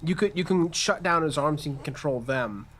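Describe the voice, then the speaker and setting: A man's voice: a little high, moderate pace, fairly bright tone, slight background noise. A man serving as game master, casually improvising narration and rulings for a tabletop game.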